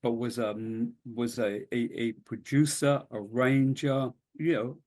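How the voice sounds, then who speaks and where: A man giving unscripted interview remarks, halting and searching for his words. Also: medium pitch, darkish tone.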